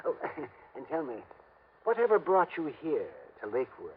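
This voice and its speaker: A man's voice: on the high side, big pitch swings, moderate pace. An actor playing a fussy, absent-minded, eccentric wealthy old man in an old-time radio drama. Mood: rambling, querulous. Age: older. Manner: absent-minded, contradictory, theatrical. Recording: dull, noisy vintage radio recording.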